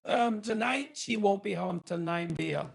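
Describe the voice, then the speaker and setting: A man voicing a stubborn, thrifty older man through a voice changer, raspy and hesitant, in an improvised phone conversation. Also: moderate pace, full character voice. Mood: compliant. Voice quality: raspy, creaky, high-pitched.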